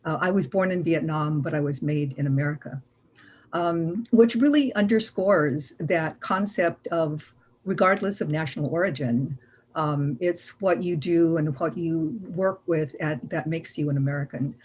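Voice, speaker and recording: A woman's voice: deep voice, unhurried, darkish tone, slight background noise. A woman curator speaking on a panel in an earnest way, with frequent fillers. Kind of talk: panel discussion.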